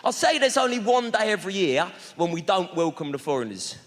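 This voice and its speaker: Cheeky comic doing crowd work. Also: male voice, high-pitched, very animated, fairly quick, a bit bright. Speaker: stand-up comedian. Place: large theatre stage with audience. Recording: live theatre with long reverb and crowd.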